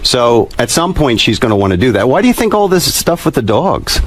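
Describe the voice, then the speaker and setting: A man speaking as a talk-show guest, giving conversational advice in an earnest, insistent way with halting repetitions. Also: mid-range voice, very animated, no echo.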